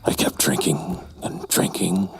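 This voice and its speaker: An actor playing a callous, doomed seducer who tells his dark tryst in a slow, brooding horror audio drama style. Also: deep voice, fairly even intonation, gravelly. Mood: dark, intense. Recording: prominent music under the voice.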